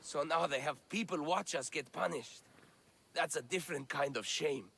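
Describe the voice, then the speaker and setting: An actor playing a wronged, devout slave in ancient Greece, speaking with earnest emotion in a video game. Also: male voice, a little high, moderate pace, vocal fry, a bit bright, a little hiss or hum. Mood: bitter. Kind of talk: video game cutscene acting. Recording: music behind the voice.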